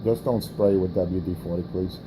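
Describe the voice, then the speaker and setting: A man's voice: sits low, fairly even intonation, moderate pace, background noise. A man trash-talking on a CB radio morning show, brash and profane, taunting another operator and repeating his jabs.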